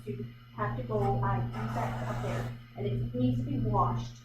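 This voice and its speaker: A woman's voice: mid-range voice, steady tone, a little slow. A woman artist leading a live painting demonstration, thinking aloud in a chatty, hesitant way with many fillers. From Vancouver Island, Canada. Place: art gallery. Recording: echoey room from far off, loud background noise.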